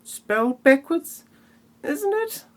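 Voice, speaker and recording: Man putting on a high-pitched, flustered, amazed character. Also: big pitch swings, slow, full character voice. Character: excitable, flustered, easily amazed character in parody play. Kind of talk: amateur comedy audio play acting.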